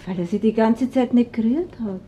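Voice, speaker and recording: A woman acts out a flustered Viennese shop worker in a crime radio play. Her voice is very high yet mellow, with an Austrian accent and a defensive manner. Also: normal speed, slight background noise, lightly acted. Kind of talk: naturalistic radio crime drama acting.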